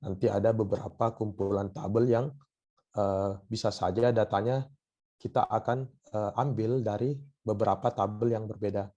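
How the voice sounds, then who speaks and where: Hoarse man lecturing with many pauses. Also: lowish voice, fairly even intonation, dark tone, lo-fi sound. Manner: hesitant, many filler sounds. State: hoarse from a cough. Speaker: university lecturer. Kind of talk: online lecture.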